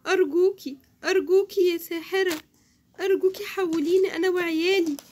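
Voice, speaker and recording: A woman's voice: very high voice, fairly even intonation, fairly quick, darkish tone, slight background noise, pitched below the performer's own voice. A woman voicing a panicked old man who has been turned into a pony, pleading frantically with an Egyptian Arabic accent.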